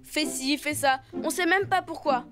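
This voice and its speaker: Woman doing a mischievous little elephant boy, really high voice, cartoon, music loud behind. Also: moderate pace, close to an everyday voice. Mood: defiant. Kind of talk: animated children's cartoon dub.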